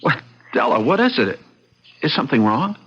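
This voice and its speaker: An earnest, fussy husband in a radio drama. He is emphatic and pleading, with a sharp, husky voice that moves around in pitch. The recording sounds dull and old. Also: sing-song, normal speed. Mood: insistent.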